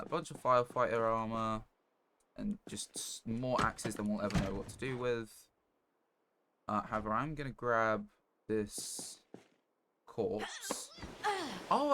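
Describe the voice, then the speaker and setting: A man streaming a game live, giving casual commentary in a halting, rambling way as he thinks aloud. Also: lowish voice. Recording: noticeable background noise.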